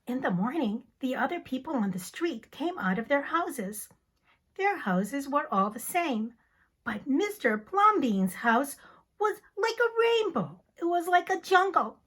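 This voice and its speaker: Teacher, woman, high and very animated, slow dramatic storybook reading. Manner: expressive, theatrical storytelling. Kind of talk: dramatic picture-book reading.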